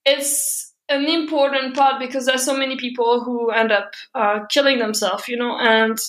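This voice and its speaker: Bright-voiced European woman, echoey room. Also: high-pitched, steady tone, moderate pace. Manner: open, laughs often, many fillers and restarts. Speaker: podcast guest. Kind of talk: personal storytelling in conversation.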